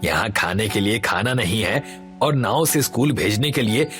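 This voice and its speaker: A man voicing a poor village labourer and father in an animated story, firm and resigned. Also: lowish voice, normal speed, faint music behind. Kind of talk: Hindi animated story voice acting.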